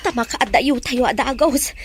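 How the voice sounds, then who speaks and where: A woman's voice: high-pitched, normal speed, slight background noise, close to an everyday voice. A woman with a Filipino accent playing a nervous, loyal housemaid in a radio drama. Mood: relieved, anxious. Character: frightened, anxious housemaid loyal to her madam.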